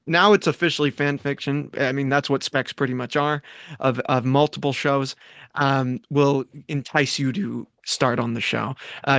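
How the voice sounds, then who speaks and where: A man's voice: mid-range voice, a bit bright, no echo. Guy hosting a table read, jokey n casual, reads stage directions, medium voice, very dry mic. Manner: playful, crude joking. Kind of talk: casual, joking group chat and script narration.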